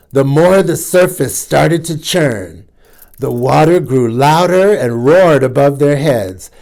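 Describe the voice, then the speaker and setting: Male storytime host reading a kids' picture book, lively and playful, unhurried, slightly raised pitch. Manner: animated, playful asides to young listeners.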